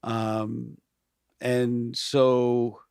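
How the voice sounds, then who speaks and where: A pastor and worship leader in casual podcast conversation, animated and restarting his sentences often, peppered with "you know". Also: mid-range voice, drawn-out words, smooth, no room sound, clean studio sound.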